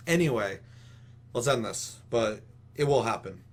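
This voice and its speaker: A man's voice: mid-range voice, animated, unhurried, slight background noise. A man co-hosting a casual gaming talk show, ranting with strong opinions in an emphatic, rambling, swear-heavy way. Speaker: podcast co-host.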